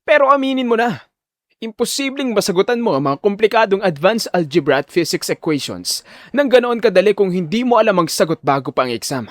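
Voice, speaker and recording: A man voicing an arrogant rich male high school student in an audio drama, sneering and condescending. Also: sing-song, fairly quick, no echo, studio quality. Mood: taunting.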